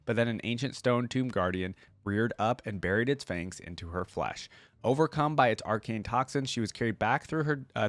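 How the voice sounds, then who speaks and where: A man's voice: mid-range voice, dry recording. A man hosting a reaction video, reading a story aloud expressively and adding casual asides of his own.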